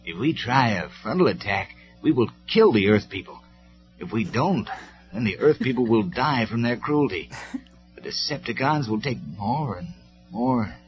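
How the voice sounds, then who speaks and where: A man voicing a gentle, earnest robot soldier in a storybook reading. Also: mid-range voice, lively intonation, moderate pace, pitched above the performer's own voice, close to an everyday voice. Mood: anxious, sad. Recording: dull lo-fi recording with music.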